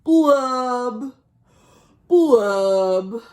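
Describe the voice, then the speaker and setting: A woman voicing a gloomy, pouting male fish in a mournful, drawn-out storybook rhyme. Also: on the high side, expressive. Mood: mopey. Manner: mournful, rhyming, drawn-out delivery.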